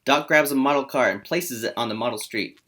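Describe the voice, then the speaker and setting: A man's voice: mid-range voice, fairly quick, a bit bright. A man hosting a table read and narrating the screenplay's stage directions.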